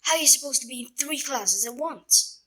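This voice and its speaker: A woman playing a blunt, incredulous young schoolboy wizard in an audio drama, with a husky voice and colloquial manner. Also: sits high, moderate pace, bright, forceful tone. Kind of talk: fan audio drama acting.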